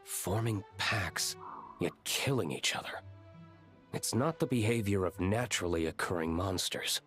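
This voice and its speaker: A man playing a guarded gentleman vigilante in a video game, speaking in a measured, formal, dry manner. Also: deep voice, expressive, faint music behind. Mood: cool, probing.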